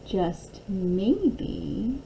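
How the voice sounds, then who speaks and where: A woman reading a picture book aloud like a teacher, in an expressive, deliberate storytelling manner. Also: medium pitch, a little hiss or hum, rough recording.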